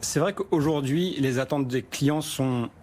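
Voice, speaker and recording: A man's voice: medium pitch, unhurried, a little mellow, slight background noise. Startup man explaining his product. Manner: explanatory, with restarts. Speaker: startup executive guest. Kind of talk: business interview answers.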